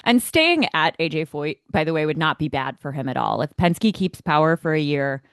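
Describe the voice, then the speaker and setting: A man's voice: on the high side, lively intonation, normal speed, a bit bright, smooth, no room sound, clean studio sound. An American man co-hosting a motorsport podcast, giving opinions in a casual, emphatic, conversational way.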